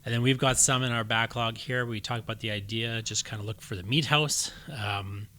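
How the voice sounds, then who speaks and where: Bright-voiced male podcast host. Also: mid-range voice, steady tone, fairly quick, slight background noise. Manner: explains by posing leading questions. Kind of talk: casual podcast conversation. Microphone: studio microphone.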